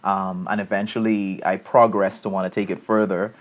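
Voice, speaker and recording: Bahamian man with a low voice, lo-fi audio. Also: normal speed. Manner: relaxed storytelling with frequent ums and laughs. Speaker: guest. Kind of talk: casual interview chat. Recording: dull lo-fi remote audio.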